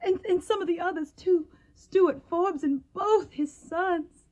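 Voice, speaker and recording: A woman's voice: extremely high pitch, a little slow, darkish tone, dull audio. A woman playing an earnest, emotional young woman in a radio drama. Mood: distressed. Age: young adult. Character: earnest, tender young Southern woman in wartime.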